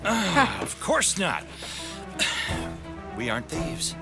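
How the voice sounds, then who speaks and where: A confident pilot in an audio drama. He is sly and assured, his pitch moving widely, with clear music behind him. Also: huge pitch swings, extremely slow, heavily acted. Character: confident, sly adult male pilot with a plan.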